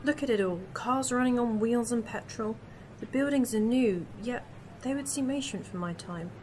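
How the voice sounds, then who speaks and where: A woman's voice: on the high side, normal speed, darkish tone, a little hiss or hum, close to an everyday voice. A woman playing a curious, sceptical young companion from the future in a fan audio drama. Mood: amazed. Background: music.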